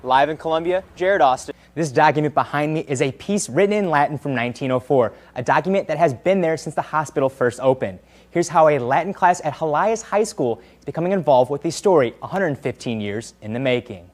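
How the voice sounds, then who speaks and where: Higher-voiced man reporting the news. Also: animated, brisk, dry recording. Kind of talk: scripted broadcast news narration and live reports.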